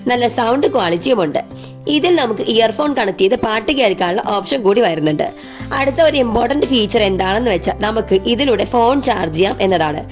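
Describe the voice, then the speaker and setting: A woman narrates a product review in a scripted presenter style. Her voice sits at a middle pitch, with music playing softly behind her.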